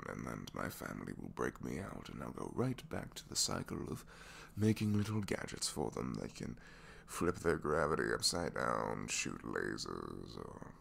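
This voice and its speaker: A man's voice: very deep voice, gravelly, fairly bright tone, breathy edge, heavily acted. A man playing a reluctant, secretly kind supervillain inventor in an ASMR roleplay, hesitant, wry and self-deprecating, rambling and sometimes dropping toward a whisper. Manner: hesitant, wry, rambling, trailing tag questions, sighs, drops to whispers. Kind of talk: ASMR roleplay audio drama, one-sided conversation.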